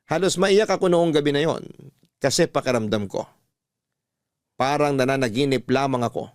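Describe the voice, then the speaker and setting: A man's voice: medium pitch, moderate pace, clean tone, no echo, studio quality. A man narrating a heartfelt letter in the first person as a radio storyteller.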